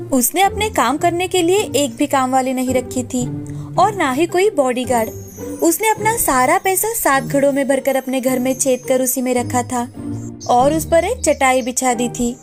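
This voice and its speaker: A woman with a very high voice narrates a children's story from a script. Clear background music plays behind her.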